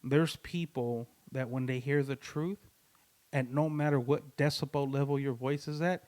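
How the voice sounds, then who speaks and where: A man's voice: mid-range voice, steady tone, moderate pace, darkish tone. A man co-hosting a podcast in a recording studio, talking casually in a rambling, slangy way with many restarts. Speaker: podcast co-host. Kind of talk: casual chat.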